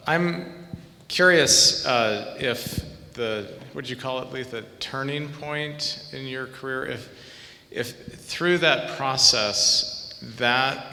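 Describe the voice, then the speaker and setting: A man's voice: gravelly, bright, forceful tone. A man in the audience asking a panel a question, searching for words as he goes. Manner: searching, hesitant phrasing. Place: event hall. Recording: echoey hall, faint rumble.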